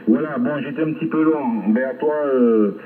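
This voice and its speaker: A man chatting casually as an amateur radio operator over a shortwave link, rambling hesitantly with long filled pauses. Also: mid-range voice, narrow, tinny audio. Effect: shortwave ham radio transmission. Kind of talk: casual ham radio contact chat. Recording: band-limited shortwave radio reception.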